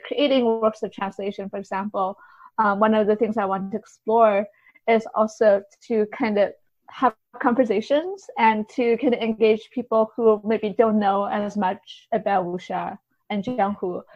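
A woman's voice: on the high side, no echo, muffled recording. A woman giving conversational interview answers as a podcast guest, explaining things in a thoughtful, tentative way.

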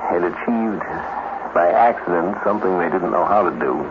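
Lowish-voiced man narrating old radio. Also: moderate pace, loud music behind the voice. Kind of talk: scripted narration, 1950s radio. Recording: muffled, noisy 1950s radio recording.

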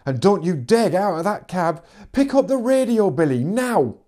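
A man voicing a bossy, furious young woman who runs a farm, snapping and shouting in a story. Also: sits high, big pitch swings, moderate pace, pitched above the performer's own voice.

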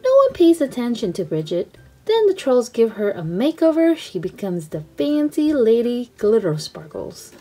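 A woman reading a children's storybook aloud in an expressive, simple storytelling manner for young children. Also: on the high side, normal speed, faint music behind, rough recording. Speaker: read-aloud channel host.

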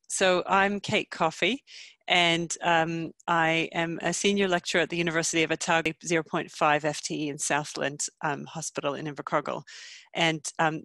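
A Canadian woman in her thirties talks through a medical webinar on a headset, friendly and full of ums. Her pitch stays fairly flat. The sound is dry and close. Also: no echo. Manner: friendly, many ums, self-deprecating. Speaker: obstetrician giving a webinar. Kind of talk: medical lecture. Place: small home room, video call.

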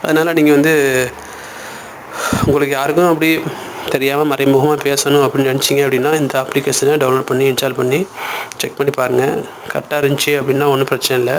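A man speaks at an ordinary middle pitch, guiding listeners step by step like an online tutorial host. His phrasing is informal and colloquial as he explains each action aloud. The amateur recording carries faint background noise. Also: level tone, fast, long pauses.

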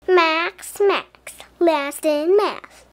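A woman's voice: extremely high pitch, extremely slow, pitched above the performer's own voice, exaggerated voice. A woman voicing a teasing schoolboy bully in a storybook, chanting taunts in a singsong way. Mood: mocking, singsong.

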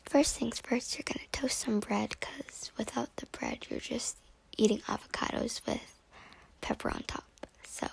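Young woman, amateur cooking vlog voice-over; near-whisper, gravelly fry, airy tone, dry jokes about herself. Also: fairly high pitch, a little slow, low-quality recording. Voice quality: hushed and breathy, near-whispered. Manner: joking, deadpan self-mocking asides. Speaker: home cooking video host. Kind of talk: self-deprecating voice-over narration. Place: home kitchen.

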